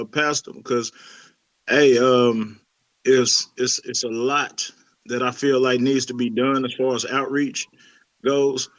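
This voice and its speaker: A man's voice: mid-range voice, a bit bright, no echo, dull audio. A middle-aged man, a youth pastor, talking casually in an interview, laid-back, with many fillers and restarts. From Lebanon.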